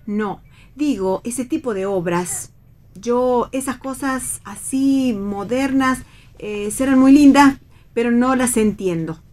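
A woman's voice: a little high, slow pace, darkish tone. An actress playing a chatty, inquisitive and naive mother with old-fashioned, homely tastes in a radio play reading. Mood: chatty, curious. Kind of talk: radio read theatre dialogue.

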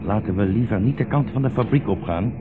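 A man's voice: lowish voice, a little fast, natural voice. Old blind rabbi, played by a man, sardonic and weary. Radio play; muffled, noisy vintage sound. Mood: weary, resigned. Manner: ironic, rhetorical. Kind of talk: 1960s radio play acting.

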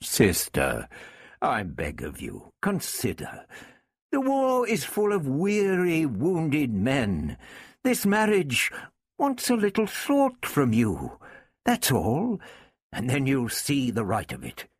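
A man's voice: fairly high pitch, wildly swooping pitch, slow, no echo, studio quality, voice pushed up, exaggerated voice. A man voicing an earnest, authoritative king reasoning with his sister in a dramatised storybook reading. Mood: placating. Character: commanding king pleading with his stubborn sister.

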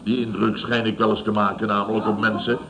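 A man's voice: lowish voice, little pitch movement, fast talker, crowd noise, close to an everyday voice. An actor playing a pompous, scornful, self-satisfied contractor boss in a radio comedy, repeating himself and laughing at his own jokes. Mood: self-satisfied, amused. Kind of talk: radio comedy serial acting.

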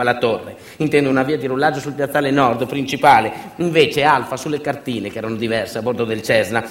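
A man delivering a dramatic theatrical monologue, intense and rhythmic, with repetitions and deliberate pauses. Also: fairly high pitch, fairly bright tone. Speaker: stage actor and narrator. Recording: roomy, echoing sound.

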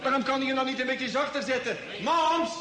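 A man playing an excitable, bewildered young space traveller in an old radio drama, stammering and indignant. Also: very high-pitched, a little fast, a crowd in the background. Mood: irritated. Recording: echoey, noisy old radio play recording.

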